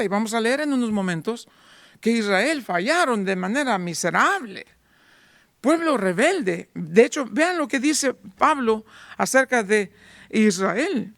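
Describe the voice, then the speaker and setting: Man, pastor, sermon, high voice, dry recording, rhetorical questions. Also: fairly bright tone, dry recording. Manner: rhetorical questions, voicing doubting listeners.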